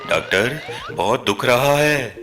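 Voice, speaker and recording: A man's voice: medium pitch, expressive, slow pace, music in the background. A man voicing a lovestruck, scheming half-man half-spider in a cartoon, with theatrical delivery. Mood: pleading. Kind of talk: Hindi cartoon story dubbing.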